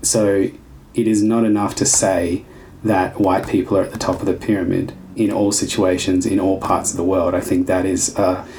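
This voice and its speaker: A man's voice: deep voice, level tone, normal speed, darkish tone, slight background noise, poor audio. A man from Australia who teaches dance, talking in a reflective casual conversation, building long winding sentences and searching for words.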